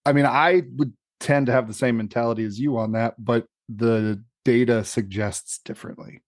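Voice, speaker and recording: A man's voice: mid-range voice, steady tone, moderate pace, no room sound, clean studio sound. A man co-hosting a podcast, talking casually and searching for his words as he reasons. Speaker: podcast co-host. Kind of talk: casual chat.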